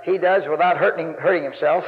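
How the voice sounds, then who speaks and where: A man with a gravelly bass voice, preaching a folksy, rambling sermon that rises to loud, emphatic stretches. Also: steady tone, fairly quick, heavy background noise. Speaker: guest preacher. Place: church sanctuary. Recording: muffled recording in a long-reverb church.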